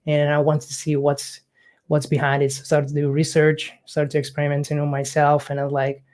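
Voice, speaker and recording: A man's voice: a little high, monotone, darkish tone. Guy coach, young, lots of ums and uhs, casual chat. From Hungary. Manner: halting, many fillers, non-native phrasing.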